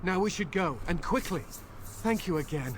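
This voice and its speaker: A man's voice: sits high, expressive, normal speed, a little hiss or hum, rough recording. An actor playing a grateful, protective and wary father in a fantasy video game.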